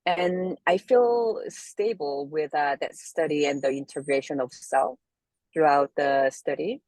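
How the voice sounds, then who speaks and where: A woman's voice: low voice, a little slow, darkish tone, no room sound. A woman from South Korea with a Korean accent, speaking reflectively as an interview guest and often searching for words. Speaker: interview guest, somatic movement therapist.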